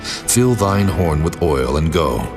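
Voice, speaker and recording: God almighty, really deep and grave, big long reverb, commanding. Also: male voice, expressive, music in the background, exaggerated voice. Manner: grave, slow, authoritative. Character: God, a majestic, commanding male divine voice. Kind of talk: dramatized scripture audio acting.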